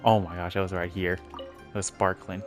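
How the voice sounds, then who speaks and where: Very low-voiced woman game streamer. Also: flat delivery, moderate pace, music in the background. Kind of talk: casual gameplay commentary.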